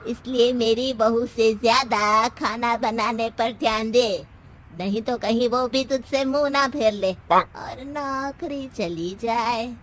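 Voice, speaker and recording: Woman doing a sassy bossy old saas from a cartoon tale, high pitched, takes her time, scolds everyone. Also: takes it slowly, fairly bright tone, slight background noise. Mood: sassy, scolding. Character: feisty, bossy, fearless elderly mother-in-law. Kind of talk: Hindi animated story dialogue.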